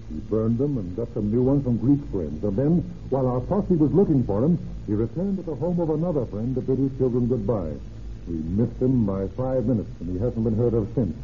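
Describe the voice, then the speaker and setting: An actor playing a brisk, plain-spoken county sheriff in an old radio crime drama, matter-of-fact and clipped. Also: male voice, medium pitch, close to an everyday voice. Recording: tinny, noisy old radio recording.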